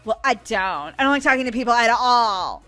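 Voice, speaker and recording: A woman's voice: fairly high pitch, lively intonation, fairly quick, pushed and bright, faint music behind. A woman playing a bored, surly young counter worker with a sulky drawl in a gaming roleplay.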